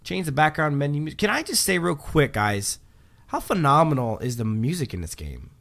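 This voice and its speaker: A man's voice: fairly high pitch, sing-song, moderate pace, gravelly, fairly bright tone. A man hosting a livestream, chatting casually while reading patch notes, with lots of um fillers and restarts. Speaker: livestream host.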